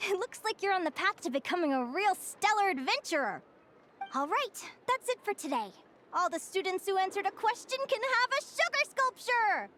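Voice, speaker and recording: A woman's voice: extremely high pitch, fairly bright tone, slight background noise, full character voice. A woman playing an encouraging, playful young teacher in a video game, with a sweet, composed manner.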